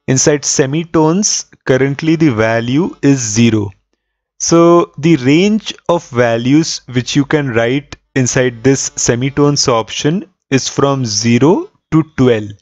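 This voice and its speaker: A man gives a methodical software tutorial at a middle pitch. He explains each step and repeats key points. The recording is very dry and slightly muffled. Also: no echo. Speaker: tutorial host.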